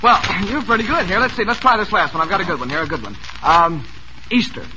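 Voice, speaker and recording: A man's voice: sits high, animated, quick pace. An American man hosting a 1940s radio quiz show with jovial, chatty, showman-like patter. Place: radio studio. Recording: noisy vintage radio broadcast recording.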